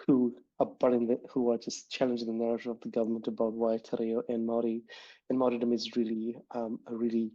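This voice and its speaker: A man hosting a podcast in a relaxed, rambling way, with many ums and restarts. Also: mid-range voice, quick pace. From immigrant to New Zealand. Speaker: podcast host. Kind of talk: casual podcast chat. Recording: dull lo-fi audio.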